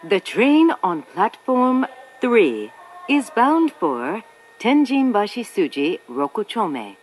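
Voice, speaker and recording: Very slow, lilting female station announcer. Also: medium pitch, big pitch swings. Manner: formal, deliberate. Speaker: English-language station announcer. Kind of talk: recorded train platform announcement.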